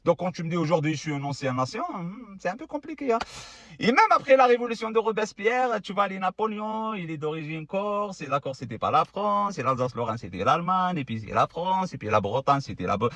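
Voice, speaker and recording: A man's voice: sits high, expressive, fairly quick, a bit bright, dry recording. A man giving casual, argumentative history commentary to camera, sarcastic and full of rhetorical questions. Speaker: video creator.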